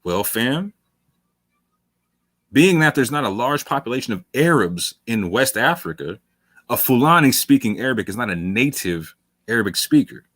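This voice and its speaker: A man's voice: medium pitch, sing-song, moderate pace, fairly bright tone, no echo. A male talk show host giving long, emphatic commentary late in a livestream. He repeats phrases for stress, calls listeners fam, and sounds worn from hours on air.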